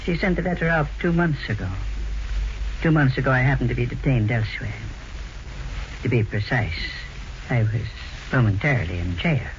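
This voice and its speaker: An actor playing a suave elderly blackmailer with mannered, falsely polite speech in an old radio mystery. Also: male voice, medium pitch, moderate pace, lightly acted. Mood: sly, smug. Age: older. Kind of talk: 1940s radio mystery acting. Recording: noisy, dull vintage radio recording.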